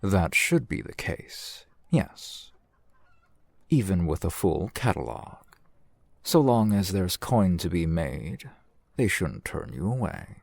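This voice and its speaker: A man playing a courteous, shrewd fantasy shopkeeper in an ASMR roleplay, speaking in formal, measured, polite phrases. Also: low-pitched, big pitch swings, vocal fry, dry recording, exaggerated voice. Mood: businesslike. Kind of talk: ASMR roleplay, scripted character acting.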